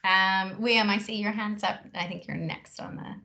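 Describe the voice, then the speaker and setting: Bright-voiced woman recruiter giving advice. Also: medium pitch, steady tone, creaky, dull audio. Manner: measured, reassuring, with frequent pauses. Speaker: recruiter leading a career workshop. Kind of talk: answering questions in an online workshop.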